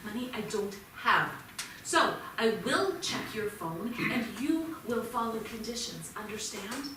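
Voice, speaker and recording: A woman's voice: on the high side, lively intonation, unhurried, sharp tone. An actress playing a stern, worried mother of a teenage son in a staged play reading. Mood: grave, firm. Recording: echoey theatre, distant mic, clear noise.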